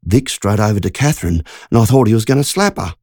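A woman's voice: rumbling low, expressive, quick pace, a little mellow, no echo, studio quality. A narrator voicing Margie, a shaken country woman who tells a frightening story in an urgent, breathless rush. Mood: indignant.

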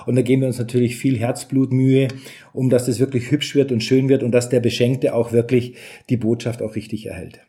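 A man's voice: mid-range voice, level tone, brisk, dark tone. A middle-aged man answering interview questions as a studio owner, chatty and explanatory with a joking streak. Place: home recording studio indoors.

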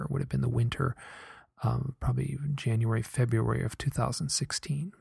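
Brief: earnest soft-spoken man, gravelly husky tone, dry close sound, musing monologue. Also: mid-range voice, no echo. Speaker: podcaster recounting a hypnosis session. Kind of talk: reflective personal monologue.

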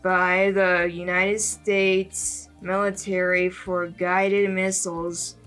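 A woman reading a scripted biography aloud, haltingly, with pauses in the middle of phrases. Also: lowish voice, little pitch movement, a bit bright, soft background music, poor audio.

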